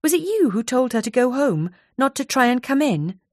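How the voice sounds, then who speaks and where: A woman's voice: sits high, big pitch swings, normal speed, no echo, clean studio sound, pitched above the performer's own voice. A woman voicing a determined young woman who questions people tactfully and earnestly. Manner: earnest, courteous, probing.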